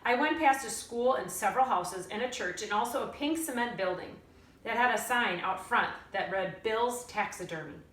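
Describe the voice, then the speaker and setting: A woman teacher reading a story aloud in an expressive storytelling style. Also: fairly high pitch, moderate pace. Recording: roomy echo, distant mic.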